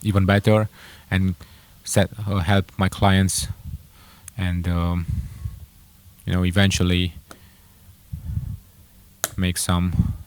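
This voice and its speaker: A young man with a Romanian accent telling his own story to camera, halting and correcting himself with many fillers. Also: deep voice, monotone, a little mellow. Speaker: entrepreneur vlogger. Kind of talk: casual personal story to camera.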